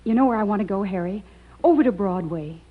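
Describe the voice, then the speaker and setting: An American actress playing a devoted, wistful vaudeville partner, gently teasing, in a 1950s radio drama. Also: female voice, medium pitch, animated, fast talker, natural voice. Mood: nostalgic. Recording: muffled 1950s radio recording, music behind.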